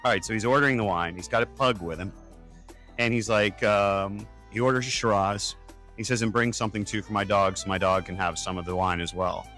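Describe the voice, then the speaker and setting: A man with a fairly low voice tells stories in a casual, wry style. He slips into quoted back-and-forth as he talks, with faint music in the background. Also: fairly even intonation, fairly quick, a bit bright. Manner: wry, acts out quoted dialogue. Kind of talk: casual anecdotal storytelling.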